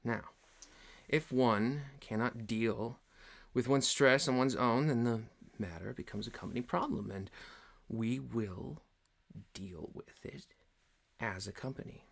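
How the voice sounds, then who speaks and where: A man playing a stern, pompous office boss in an ASMR roleplay, speaking formally and deliberately with theatrical pauses. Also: medium pitch, vocal fry. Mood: grave, softly lecturing. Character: stern, pompous male office boss, mock-solemn, paternal. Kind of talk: scripted ASMR roleplay monologue.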